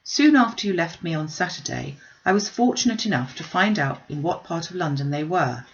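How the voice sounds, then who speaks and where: A woman's voice: lowish voice, lively intonation, a little fast. A woman narrating an audiobook, reading a classic novel aloud. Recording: slightly dull, muffled recording.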